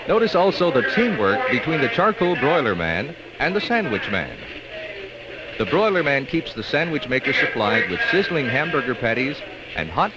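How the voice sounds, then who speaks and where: An American man reads scripted training film narration in an instructional way with measured emphasis, on an old 1960s film soundtrack. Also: mid-range voice, lo-fi sound, noisy background.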